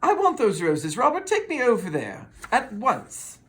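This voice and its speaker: A storybook reader voicing a demanding, excitable woman who is bossy and insistent. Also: medium pitch, unhurried, voice pushed up.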